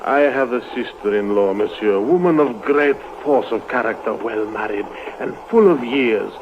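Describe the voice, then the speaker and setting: Actor as fussy traveler, old muffled radio. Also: male voice, medium pitch, normal speed, close to an everyday voice. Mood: solemn, mournful. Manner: formal, quaintly worded, insistent. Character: fussy, persistent, gloomily philosophical little Greek train passenger. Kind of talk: old-time radio drama acting. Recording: dull, noisy old radio recording.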